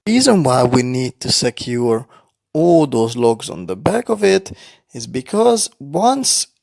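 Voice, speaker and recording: A man's voice: mid-range voice, very animated, slow pace, vocal fry, darkish tone, no room sound, clean studio sound. A man narrating a toy-play bedtime story for young children, explaining things simply as he goes.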